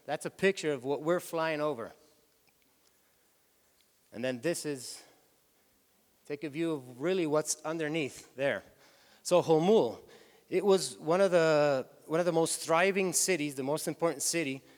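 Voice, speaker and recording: A man's voice: on the high side, smooth. A man with a Spanish accent, whose first language is Spanish, gives a loose, chatty stage talk, often restarting his sentences. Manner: loose, chatty, self-correcting. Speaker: tour company head giving a talk. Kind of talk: stage presentation. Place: hall with audience. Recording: reverberant hall.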